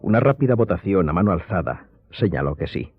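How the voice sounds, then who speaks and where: A man's voice: deep voice, expressive, fairly quick, breathy edge, no room sound. A man giving scripted dramatic narration as the narrator of a radio drama. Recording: thin phone-like sound, music behind.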